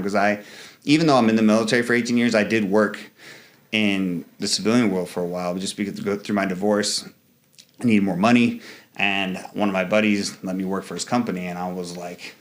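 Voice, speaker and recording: Rambling man with filler-heavy speech. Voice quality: fairly high voice for a man. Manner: rambling, many fillers and restarts, acts out quoted dialogue. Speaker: interview guest, Marine veteran. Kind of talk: casual interview talk.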